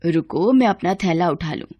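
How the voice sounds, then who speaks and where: Woman playing a soft, low-voiced humble village midwife. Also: lively intonation, moderate pace, slightly rough, dry recording. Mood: busy. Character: humble, loving village midwife and widowed mother.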